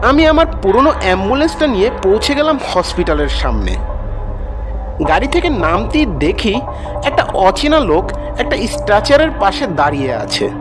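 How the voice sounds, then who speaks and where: A man's voice: high-pitched, big pitch swings, normal speed. A man narrating a first-person horror story in a suspenseful, dramatic way, as a frightened and weary ambulance driver. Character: frightened, weary male ambulance driver telling his tale. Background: music.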